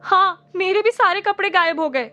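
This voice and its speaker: A cheeky young man with a teasing, mocking streak who yelps high and loud when scared, as a woman narrator voices him. It sounds like a storybook reading. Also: normal speed, dull audio. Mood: panicked. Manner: mocking, then yelping in fright. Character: cheeky young male junior colleague.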